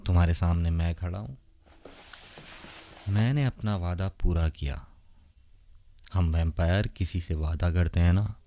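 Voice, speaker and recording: Casting: male actor as ancient vampire lover for ASMR roleplay. Deep, dark-toned, soft-spoken, tender and lonely. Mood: tender, reassuring. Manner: soft, intimate, gentle, unhurried. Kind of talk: romantic ASMR roleplay, addressed to the listener.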